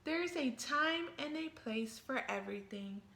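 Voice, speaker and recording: A woman's voice: sits high, expressive, measured pace, gravelly, bright, forceful tone, exaggerated voice. A woman voicing a kind, earnest young boy shark in a storybook, with a sing-song, rhyming delivery. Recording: roomy, echoey sound.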